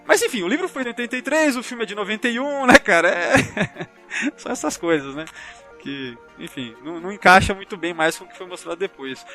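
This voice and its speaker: A man's voice: high-pitched, expressive, a little fast, bright, forceful tone, soft background music. A man with a Brazilian Portuguese accent in a casual podcast discussion, rambling chattily and adding frequent tag questions. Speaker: podcast panelist.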